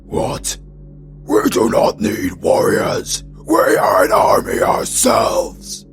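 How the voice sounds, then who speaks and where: An actor playing a proud, defiant, warlike demon king with a gravelly, creaky voice in a fantasy audio drama. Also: slow, loud music behind the voice, lightly acted.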